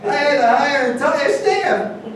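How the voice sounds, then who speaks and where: Distant, very reverberant audio of a man acting a boastful star in a staged reading. His voice is high for a man, with clear noise around it. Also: animated, moderate pace. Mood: bragging. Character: boastful rich male star, beset by admirers. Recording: echoey noisy room, far from mic.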